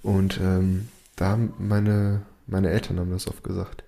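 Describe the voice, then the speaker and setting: A man's voice: sits low, little pitch movement, unhurried, creaky, mellow. A man whose first language is Portuguese, chatting casually and hesitantly with restarts. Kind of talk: casual chat.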